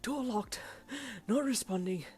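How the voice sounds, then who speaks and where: A dutiful manservant in a storybook tale, played by a woman. He is winded and wheezing, with a raised pitch and clipped phrases. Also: a little slow, warm tone, soft background music, close to an everyday voice. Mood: winded, urgent. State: out of breath. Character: wheezing, winded, dutiful male household servant.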